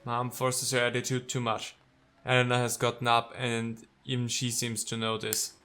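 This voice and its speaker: A man's voice: mid-range voice, monotone, normal speed, sharp tone. A man doing let's play commentary, reading game text aloud and reacting with sarcasm and exasperated swearing. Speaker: let's player.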